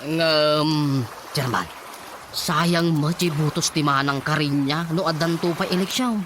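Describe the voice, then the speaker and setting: A man's voice: a little high, steady tone, unhurried, noisy background, natural voice. An actor playing a chatty, teasing village councilman in an Ilocano radio comedy, with a Filipino accent and a gossipy way of talking. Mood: confiding.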